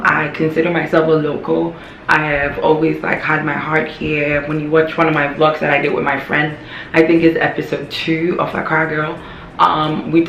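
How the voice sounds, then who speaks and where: A young woman talks to her vlog audience, her voice hoarse and frayed. She is candid and chatty and doubles back on phrases to drive a point home. The sound bounces around an echoey room, with a faint hum of noise underneath. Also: low voice, fairly bright tone. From Ghana. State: hoarse, voice worn from yelling. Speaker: vlogger. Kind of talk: casual talking-to-camera vlog.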